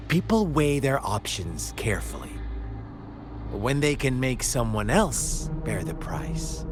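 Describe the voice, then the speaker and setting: An actor playing a mocking male villain who tells a dark parable in a game, theatrical and taunting. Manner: theatrical, slow and taunting, wild pitch swings. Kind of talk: game cutscene voice acting. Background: game score music.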